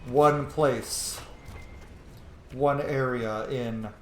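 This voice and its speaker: A man's voice: mid-range voice, steady tone, drawn-out words. A man giving casual, rambling, opinionated gameplay commentary, with some swearing. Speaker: gaming video host. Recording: roomy echo, faint background noise.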